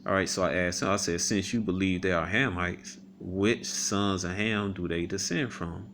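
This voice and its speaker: A man giving casual commentary on a video. He reads viewer comments aloud, rebuts them, pauses often with "um," and keeps asking "all right?". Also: low-pitched, fairly even intonation, fairly bright tone, slight background noise. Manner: halting, many ums and repeated "all right?" tags.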